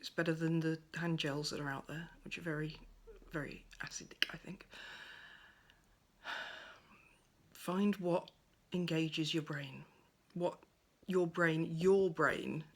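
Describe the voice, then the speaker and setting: A woman giving a gentle, thoughtful monologue at home by a window, her voice soft and at times close to a whisper. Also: deep voice, fairly even intonation, slow pace. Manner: soft-spoken, thoughtful, drifts into near-whispers. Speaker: pagan speaker sharing reflections. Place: at home by a window over a garden.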